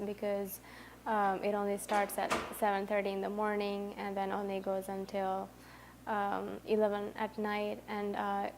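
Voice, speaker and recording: A woman's voice: medium pitch, a little mellow, slight background noise. A woman, an international studies student, answering interview questions steadily with frequent "um" fillers.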